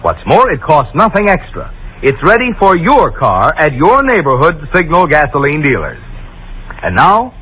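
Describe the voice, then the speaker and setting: A man's voice: on the high side, huge pitch swings, moderate pace, pushed and bright, husky, low-quality recording. A man working as a 1940s radio announcer, reading a scripted advertisement with emphatic sales delivery.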